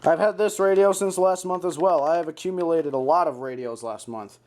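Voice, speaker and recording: A man's voice: fairly high pitch, fairly quick. A man casually showing off a hobby collection in an offhand, unscripted vlog style. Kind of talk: casual show-and-tell vlog. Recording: loud background noise, clicks.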